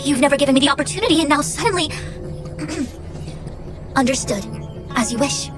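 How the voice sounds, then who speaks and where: High-voiced, imperious young woman diviner voiced by an actress. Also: normal speed, lightly acted. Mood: indignant. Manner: formal, imperious, articulate. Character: haughty, brisk young female master diviner, authoritative and exasperated. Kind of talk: scripted video game voice acting. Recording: game music underneath.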